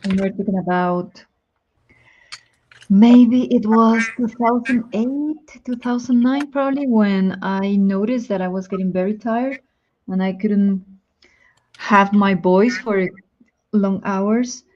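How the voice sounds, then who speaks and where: A woman's voice: medium pitch, takes it slowly, a little mellow, highs cut off, a little hiss or hum. Chatty woman with a Spanish accent. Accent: Spanish-speaking. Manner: chatty, thinks aloud with many ums. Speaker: Spanish teacher, guest. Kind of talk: casual chat on a live stream.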